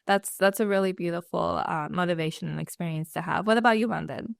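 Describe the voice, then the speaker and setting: A young woman studying at a community college, leading a casual panel interview and warmly affirming each answer. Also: on the low side, fast talker, gravelly, dry recording, clean studio sound. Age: young adult. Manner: warm, affirming, upbeat. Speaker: student interviewer.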